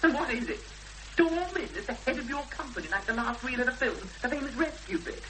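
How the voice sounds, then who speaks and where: A woman's voice: fairly high pitch, lively intonation, fast, fairly bright tone. An actress playing a frightened, weary woman searching for her missing sister in a 1970s radio drama, speaking in short, intense, reflective phrases. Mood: agitated. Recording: noisy 1970s radio broadcast recording.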